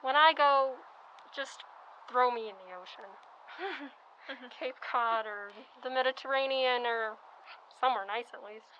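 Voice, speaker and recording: A woman's voice: a little high, measured pace, long pauses, lo-fi sound, slight background noise, lightly acted. A young woman playing a wry but firm nurse in a radio drama. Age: young adult.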